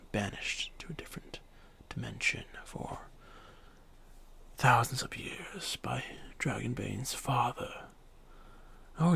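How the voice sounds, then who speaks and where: Arrogant age-old dragon played by a man, fantasy ASMR: breathy, fry-laden, unhurried delivery, haughty and commanding. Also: medium pitch, fairly bright tone. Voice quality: breathy, creaky half-whisper. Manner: slow, haughty, deliberate. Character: arrogant ancient dragon, male, thousands of years old, domineering.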